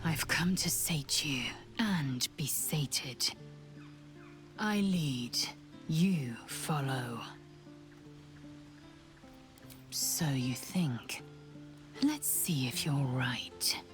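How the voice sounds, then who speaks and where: A woman playing a commanding, seductive alien warrior in a video game, clipped, breathy and imperious. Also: deep voice, animated, normal speed, a bit bright, faint music behind. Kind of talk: dramatic video game acting.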